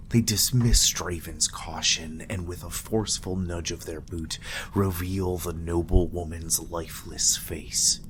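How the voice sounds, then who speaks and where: A man narrating gothic horror in a dramatic, hushed and ominous storytelling manner. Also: sits low, a little hiss or hum. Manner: hushed, breathy, ominous delivery. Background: music.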